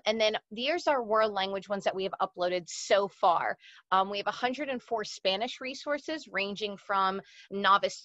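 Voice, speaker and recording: A woman's voice: medium pitch, sharp tone, no room sound. A woman in her thirties, a native Portuguese speaker, giving an informal webinar presentation over a lo-fi video call. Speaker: presenter, language educator. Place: small indoor room. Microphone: computer mic on a video call. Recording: dull, lo-fi video-call audio.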